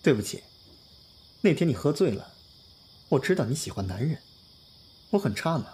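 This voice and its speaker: A man's voice: medium pitch, sing-song, moderate pace, darkish tone, close to an everyday voice. A man playing an earnest, lovestruck young pop star in an audio drama, speaking in an emotive, confiding way. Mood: earnest pleading. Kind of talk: Chinese audio drama acting, heartfelt monologue and dialogue.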